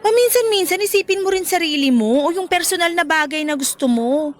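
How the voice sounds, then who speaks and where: A woman's voice: extremely high pitch, lively intonation, brisk. A woman acting as a frank, teasing, caring young best friend in a Filipino radio drama. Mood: frank advice.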